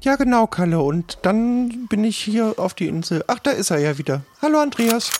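A man's voice: high voice, lively intonation, moderate pace, a little mellow. A man voicing an eager, naive young cook's apprentice in a fantasy role-play, stammering and repeating his words. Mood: hesitant. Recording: faint background noise.

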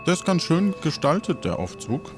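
A man's voice: on the high side, very animated, normal speed. Male game master, music behind. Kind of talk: casual improvised narration.

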